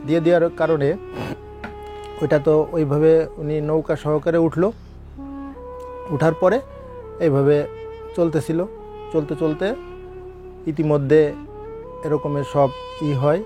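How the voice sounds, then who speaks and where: Higher-voiced Bangladeshi man telling ghost tales over music. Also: fairly even intonation, measured pace, long pauses. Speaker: guest storyteller on a ghost-story show. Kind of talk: informal spoken ghost-story telling. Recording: voice over prominent background music.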